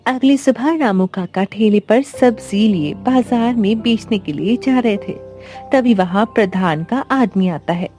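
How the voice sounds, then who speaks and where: Woman narrator with a bright-leaning soft mellow delivery. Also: medium pitch, a little slow. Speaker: story narrator. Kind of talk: scripted narration of an animated moral story. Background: background music.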